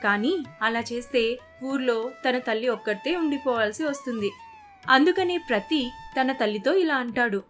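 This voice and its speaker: A woman's voice: high voice, moderate pace. A woman narrator telling a scripted moral family story. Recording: faint music bed behind the voice.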